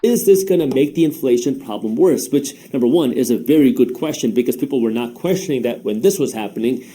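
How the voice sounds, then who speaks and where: A man whose first language is Punjabi, presenting a scripted finance explainer in a polished, brisk delivery. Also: medium pitch, warm tone. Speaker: finance educator.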